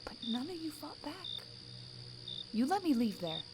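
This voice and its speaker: A woman's voice: sits high, very animated, moderate pace, background noise. A woman playing a defiant, guarded outlaw soldier in an audio drama, blunt and sardonic in delivery.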